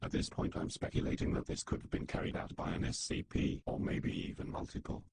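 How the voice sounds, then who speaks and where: A man's voice: a little fast, a little mellow, no room sound, close to an everyday voice. A man playing a grim secret-organisation council member in a whispery, voiceless tone, in dramatic meeting dialogue. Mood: grave, analytical.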